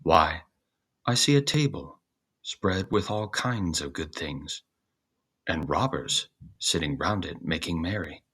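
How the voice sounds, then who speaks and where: A man's voice: low voice, expressive, slow, bright, forceful tone, slightly rough, full character voice. A man voicing a hearty, encouraging storybook donkey with declamatory, courteous old-fashioned speech. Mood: reasoning.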